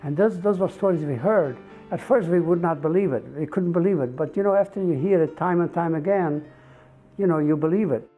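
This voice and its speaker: A man in his eighties from Eastern Europe recounts his memories, pausing with fillers and repeating himself. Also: on the high side, soft background music. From Poland and Czechoslovakia. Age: 80s. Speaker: Holocaust survivor, interviewee. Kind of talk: testimony interview. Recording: tinny phone-like sound.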